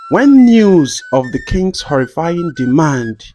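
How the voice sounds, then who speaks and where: A man reading a scripted folktale as a narrator, in a measured, dramatic storytelling delivery. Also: on the high side, big pitch swings, mellow, muffled recording. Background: background music.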